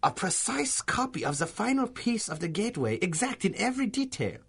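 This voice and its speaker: An actor playing a gloating Nazi professor with a German accent, pompous and mock-courteous in a radio drama style. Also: male voice, sits high, big pitch swings, a little fast, creaky, fairly bright tone, husky, full character voice. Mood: excited, marvelling.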